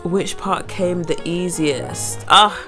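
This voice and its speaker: A woman's voice: fairly low pitch, takes it slowly, over music. A young woman, a singer-songwriter with a cold, chatting casually and rambling about her new song. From London. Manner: rambling, chatty, laughs at herself. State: has a cold, sniffling. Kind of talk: casual chat to camera.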